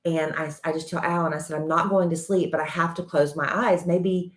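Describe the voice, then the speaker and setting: A woman's voice: a little fast, reverberant. A woman in her fifties co-hosting a podcast, chatting casually with her husband and telling stories animatedly. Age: 50s. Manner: animated storyteller, rising up in pitch when indignant. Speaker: podcast co-host. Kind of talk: casual chat with spouse.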